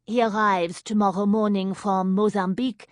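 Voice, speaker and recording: A woman voicing a glamorous, scornful French woman in her late fifties, drawling slowly with a thick French accent. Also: medium pitch, steady tone, no room sound, studio quality, pitched above the performer's own voice, close to an everyday voice. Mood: haughty. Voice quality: slow, drawling, contemptuous.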